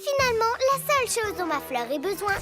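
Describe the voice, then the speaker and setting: A woman's voice: very high voice, big pitch swings, fast. A woman playing a gloating young girl villain in a children's cartoon, taunting and theatrical. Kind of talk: French-dubbed children's cartoon acting. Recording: music behind the voice.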